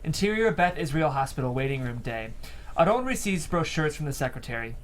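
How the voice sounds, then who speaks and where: Male stage-direction reader, slightly high voice, scripted narration, faint room noise. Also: very animated, fairly quick, low-quality recording. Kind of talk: scripted narration at a table read.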